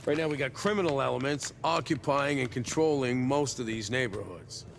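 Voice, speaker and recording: A man acting as a weary, blunt security captain in a video game, skeptical and plain-spoken, with a gravelly voice. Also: medium pitch, moderate pace, a little hiss or hum, close to an everyday voice. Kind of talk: dramatic game cutscene acting.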